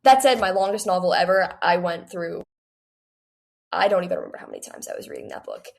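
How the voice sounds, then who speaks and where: A woman's voice: fairly low pitch, huge pitch swings, quick pace, gravelly. An eighteen-year-old woman speaking as a guest in a casual interview, rambling with frequent "like" and bits of quoted self-talk. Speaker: guest author. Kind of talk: casual interview chat about writing.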